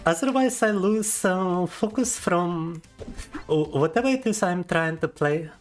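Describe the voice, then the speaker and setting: A man's voice: sits high, measured pace, soft background music. Playful young man. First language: Russian. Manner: playful and teasing, reads chat aloud and laughs often. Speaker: VTuber streamer. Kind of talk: casual livestream chat.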